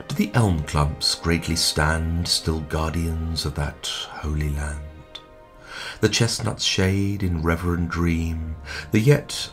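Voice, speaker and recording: A man giving a dramatic poetry recitation in a measured, expressive way, with hushed line endings. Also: low voice. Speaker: poetry reader. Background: music.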